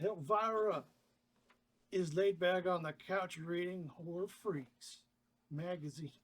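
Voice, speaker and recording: Male table-read narrator, notably high-pitched voice, reading scene directions in a casual way. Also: slow. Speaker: actor reading stage directions.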